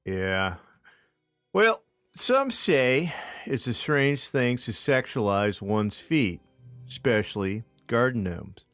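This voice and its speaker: A man's voice: mid-range voice, dry recording. A man co-hosting a podcast, reading a comic story aloud as a storyteller. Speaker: podcast co-host. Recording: dry close sound with music behind. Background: music.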